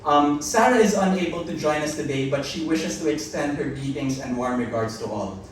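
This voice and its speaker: A man's voice: on the high side, normal speed, a little hiss or hum. A man serving as master of ceremonies, formally and graciously introducing a guest lecturer in a classroom. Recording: echoey room, distant mic.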